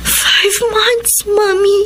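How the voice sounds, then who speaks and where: Devoted college girl in a drama, high voice, emotional, music loud behind. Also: little pitch movement, very slow, full character voice. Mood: tearful pleading. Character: devoted tearful college-age daughter. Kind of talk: emotional radio-style drama acting.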